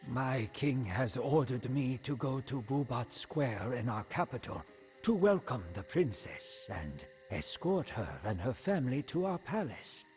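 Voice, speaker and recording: An actor playing an ambitious, scheming old prime minister, solemnly and theatrically narrating his life for a storybook game campaign. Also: medium pitch. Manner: solemn, theatrical, deliberate, with hushed line endings.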